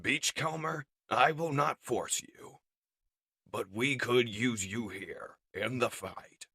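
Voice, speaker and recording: A man's voice: mid-range voice, pushed and bright, slightly rough, no room sound, studio quality, full character voice. Man as a solemn, fatherly robot leader. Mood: earnest. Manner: measured, fatherly, formal. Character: wise, kindly, solemn robot leader, male. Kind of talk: comic dub character acting.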